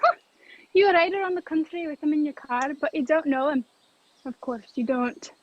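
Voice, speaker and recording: A woman's voice: high voice, normal speed, close to an everyday voice. A woman playing a bossy, taunting, crooked policeman in an old-fashioned radio play, with muffled video-call sound.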